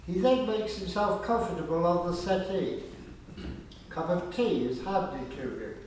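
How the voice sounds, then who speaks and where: A man's voice: sits high, measured pace, a little mellow. A man reading scripted narration for a radio play. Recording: echoey, distant, with faint noise.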